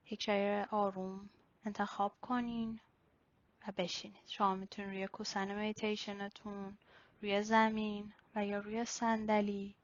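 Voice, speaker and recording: A woman leading a guided body-scan meditation in a slow, instructional way with pauses. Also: medium pitch. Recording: slightly dull, lo-fi recording.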